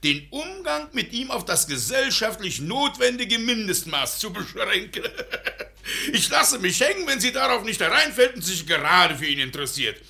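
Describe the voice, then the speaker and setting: An actor playing a jovial, sly old landowner and scheming father with a creaky voice in a German radio play. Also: high voice, normal speed, exaggerated voice. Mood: sly, gleeful. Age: older. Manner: gleeful, animated.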